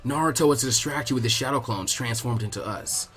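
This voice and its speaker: A man's voice: medium pitch, quick pace, a bit bright, soft background music, pitched below the performer's own voice, natural voice. A man voicing a lazy, dry, clever boy ninja strategist in an anime fan story. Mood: matter-of-fact.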